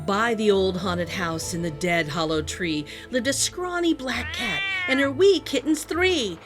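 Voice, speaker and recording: A woman reading a children's picture book aloud in an animated, sing-song storytelling manner. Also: medium pitch, unhurried. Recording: music playing behind the voice. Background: music.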